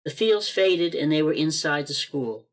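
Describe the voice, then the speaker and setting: A man's voice: fairly high pitch, expressive, moderate pace. A man narrating a radio play as a scripted storyteller.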